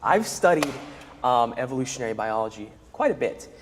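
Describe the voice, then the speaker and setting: A man speaking haltingly with many fillers as a debate participant. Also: medium pitch, expressive. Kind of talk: filmed debate. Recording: reverberant room.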